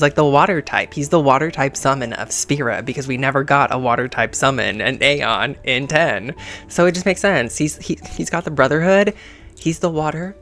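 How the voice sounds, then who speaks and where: Campy gaming host, mid-pitched, casual reaction commentary, sassy slang, music in the background. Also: a bit bright.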